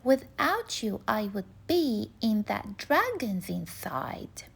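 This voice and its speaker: A woman voicing a kind, excitable witch who exclaims emphatically in a children's storybook. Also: mid-range voice, slow pace, vocal fry, breathy edge, heavily acted. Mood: grateful. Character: kind, excitable witch calling out and giving thanks. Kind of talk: children's storybook read-aloud.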